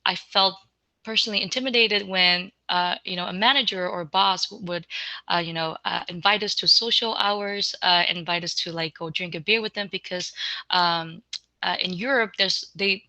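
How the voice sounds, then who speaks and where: A woman's voice: lowish voice, normal speed, sharp tone, no echo, low-quality recording. A young woman speaking as a panelist, hesitant and full of filler words. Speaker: alumni panelist. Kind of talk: panel discussion answer.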